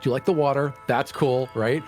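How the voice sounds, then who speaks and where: Man, wry measured tabletop player. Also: medium pitch, fairly even intonation, darkish tone, faint music behind. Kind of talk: in-character tabletop roleplay commentary.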